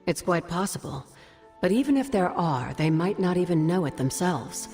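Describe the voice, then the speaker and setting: A knowing older sorceress mentor from a video game, speaking in measured, fondly wry tones. Her voice rings in a long hall reverb over faint music. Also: fairly low pitch, animated, darkish tone. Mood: solemn, knowing. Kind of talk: game cutscene dialogue, expository storytelling.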